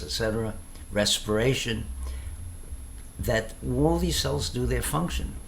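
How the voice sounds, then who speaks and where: Man, very slow halting delivery, reflective informal explanation, creaky voice, some background noise. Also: lowish voice, fairly bright tone. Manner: halting, reflective, pauses mid-phrase. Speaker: tai chi teacher.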